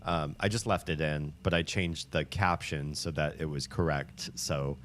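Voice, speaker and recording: A man with a low voice hosts a livestream, talking with viewers in a chatty, upbeat way. He rambles and restarts his sentences, with many ums and likes. A faint hiss sits underneath. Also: a little slow, fairly bright tone. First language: English. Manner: rambling, with frequent fillers and restarts. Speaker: livestream host. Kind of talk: casual chat with viewers.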